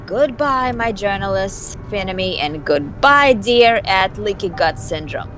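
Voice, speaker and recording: A woman's voice: medium pitch, lively intonation, a little slow, fairly bright tone, soft background music. A woman voicing a flippant, scheming young vampire socialite in an amateur visual novel dub, with a snarky, theatrical delivery. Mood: wry, dismissive.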